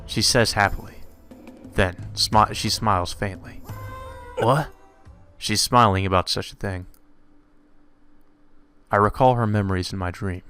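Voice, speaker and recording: Bright-voiced man reading with irreverent asides. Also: lowish voice, a little slow, pauses often, soft background music. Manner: irreverent, sweary, reacting incredulously to the script. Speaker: let's player reading a visual novel aloud. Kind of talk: casual commentary mixed with reading aloud.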